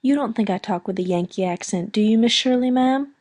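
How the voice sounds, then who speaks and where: A woman's voice: a little high, brisk, a little mellow, dry recording, close to an everyday voice. A woman voicing an anxious, freckled young country girl with folksy grammar and a Prince Edward Island accent.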